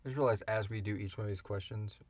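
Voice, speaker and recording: A man's voice: lowish voice, fairly even intonation, quick pace, slightly rough, dry recording. A man chatting casually as a podcast co-host, rambling along with enthusiasm as he answers questions. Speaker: creator and co-host of a fiction podcast. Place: small enclosed recording booth.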